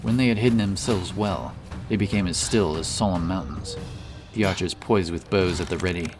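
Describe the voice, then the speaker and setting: A very low-voiced man narrates a fantasy tale. His delivery is measured and solemn, like a dramatic audiobook, with clear music playing behind him.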